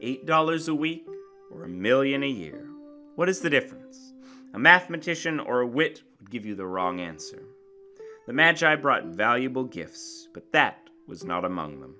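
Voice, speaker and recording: A man narrating a literary story as a dramatic reading, with theatrical, deliberate emphasis. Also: mid-range voice, big pitch swings, pushed and bright, soft background music.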